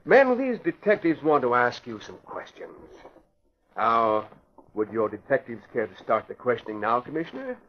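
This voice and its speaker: An actor playing a smooth-talking older man who runs a shelter and is secretly a crook, in 1940s radio acting style. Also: medium pitch, big pitch swings, normal speed, a little hiss or hum, natural voice. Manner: oily, ingratiating, falsely solicitous. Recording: dull old radio broadcast.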